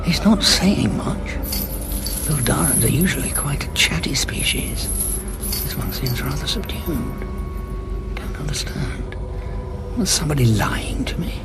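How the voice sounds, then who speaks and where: Indignant alien time-traveller, high voice, emphatic, intense, audio drama, music underneath. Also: male voice, expressive, measured pace, pauses often. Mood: uneasy, hushed. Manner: emphatic, argumentative.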